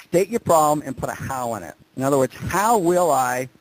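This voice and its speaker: A man's voice: fairly high pitch, lively intonation, moderate pace, low-quality recording. A man leading a group conference call as a coach, directing the other person with probing questions.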